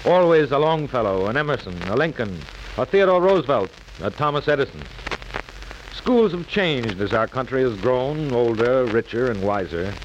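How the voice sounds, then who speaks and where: Dramatic American radio announcer, very varied pitch. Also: male voice, expressive. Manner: oratorical, solemn, measured. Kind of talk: 1940s radio narration, scripted. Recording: muffled, hissy 1940s radio recording.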